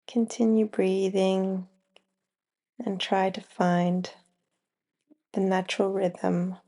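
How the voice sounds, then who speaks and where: A woman guiding a yoga nidra relaxation, speaking in short, unhurried phrases with long pauses between them. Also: medium pitch, little pitch movement, mellow. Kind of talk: slow guided relaxation meditation.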